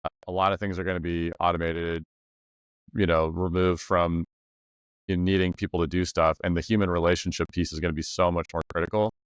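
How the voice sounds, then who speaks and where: Deep-voiced man hosting a podcast. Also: little pitch movement, a little fast, clean tone, no echo. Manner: talkative, thinks aloud with many "right?" and "like". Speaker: podcast host. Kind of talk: casual interview chat.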